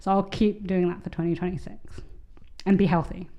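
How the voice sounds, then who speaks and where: A woman's voice: on the low side, steady tone, moderate pace, dark tone, dull audio. A woman co-hosting a podcast in casual chat, rambling with many fillers and restarts. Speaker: podcast co-host.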